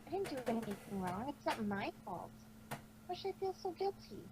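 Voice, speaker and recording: Girl acting a high voiced sulky unicorn mare from a cartoon fanfic, broody and halting, noisy recording. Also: very animated. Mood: conflicted, guilty. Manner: brooding, halting, drawn-out self-questioning. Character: proud female cartoon unicorn pony, sulky and guilt-ridden. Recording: noisy amateur microphone recording.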